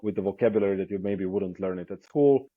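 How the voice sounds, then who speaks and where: Low-voiced Croatian man on a video call. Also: quick pace, no echo, phone-quality sound. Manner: relaxed, chatty, laughs easily. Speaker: guest. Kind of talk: casual interview over video call. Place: indoor room, plain wall. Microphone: earphone mic on video call.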